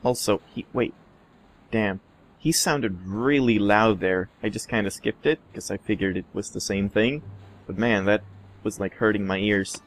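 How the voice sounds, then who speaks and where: A man's voice: on the low side, unhurried, a little hiss or hum. A man giving casual, sarcastic commentary over a game he is playing. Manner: sarcastic, laid-back asides. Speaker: let's play commentator.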